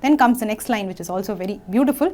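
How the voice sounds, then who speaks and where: A young woman, teaching a singing lesson, gently and patiently explaining phrases between short sung examples. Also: a little high, very fast.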